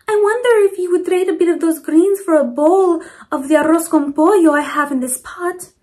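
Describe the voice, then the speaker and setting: A woman's voice: extremely high pitch, normal speed, darkish tone, pitched above the performer's own voice. A woman voicing a kindly, polite grandmother in a children's storybook, courteous and eager in her delivery.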